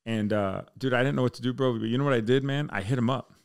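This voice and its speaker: A man's voice: mid-range voice, quick pace, creaky, clear, resonant tone. An American man chatting casually and candidly as a podcast guest, with slangy, bro-heavy speech. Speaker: podcast guest, military fire chief.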